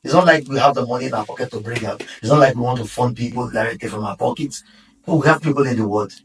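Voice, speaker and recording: A man's voice: medium pitch, fast, far from the mic, low-quality recording. A Nigerian man, founder of a podcast studio, earnestly urging listeners to speak up in a casual interview. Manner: earnest, exhorting.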